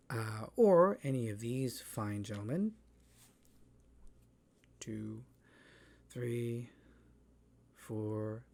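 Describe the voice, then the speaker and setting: A man giving a reflective spoken monologue as a theatre artist, talking thoughtfully with many pauses, fillers and restarts. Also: medium pitch, creaky, darkish tone, a little hiss or hum. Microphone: voice-over, face not shown.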